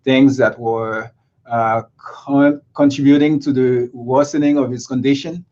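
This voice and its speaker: A cardiologist originally from Haiti, speaking in an interview and pausing often with filler sounds. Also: male voice, mid-range voice, steady tone, dark tone, highs cut off. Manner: hesitant, many filler sounds. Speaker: cardiologist guest. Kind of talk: interview conversation.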